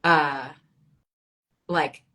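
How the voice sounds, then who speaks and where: A woman with a low-pitched voice speaks as a guest poet in a casual literary conversation. She is bilingual in Arabic and English, and talks in a reflective, informal way with dry wit. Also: steady tone, drawn-out words, bright, forceful tone. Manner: reflective, informal, wryly humorous.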